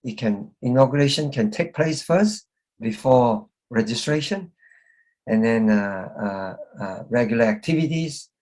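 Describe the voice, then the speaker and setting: A man from Malaysia giving a church presentation, halting and full of fillers and restarts. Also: medium pitch, lively intonation, darkish tone. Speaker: presenter, reverend.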